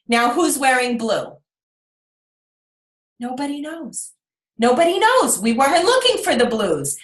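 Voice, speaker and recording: A woman leading a motivational group video call, energetic and full of rhetorical questions to her team. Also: a little high. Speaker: team leader on a coaching call. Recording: roomy echo.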